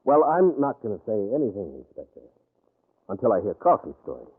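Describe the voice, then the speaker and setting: An actor playing an easygoing amateur sleuth who probes with casual, hesitating questions in an old-time radio crime drama. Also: male voice, lowish voice, wildly swooping pitch, brisk. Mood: inquisitive. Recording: muffled, narrow-band vintage radio recording.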